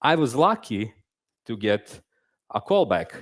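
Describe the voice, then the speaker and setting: Young man, lively swinging pitch, casual self-mocking conference speaker. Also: takes it slowly. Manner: animated, conversational, self-deprecating humor. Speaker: conference speaker, game narrative designer. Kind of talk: live conference talk. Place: stage at a conference.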